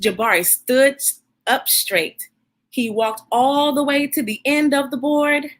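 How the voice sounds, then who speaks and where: A woman hosting a children's storybook read-aloud, expressive and encouraging, speaking directly to young listeners like a teacher. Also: high voice, measured pace.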